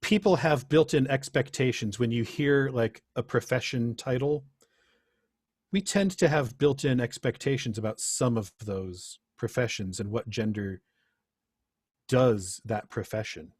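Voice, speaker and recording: Husky-voiced man presenting informally. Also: medium pitch, a little slow, darkish tone, dry recording, clean studio sound. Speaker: presenter, teacher.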